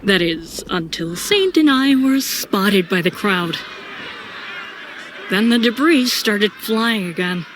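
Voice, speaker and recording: A woman's voice: medium pitch, animated, slow, fairly bright tone, a little hiss or hum, low-quality recording. A woman giving scripted, dramatic first-person narration as the narrator of an audio story.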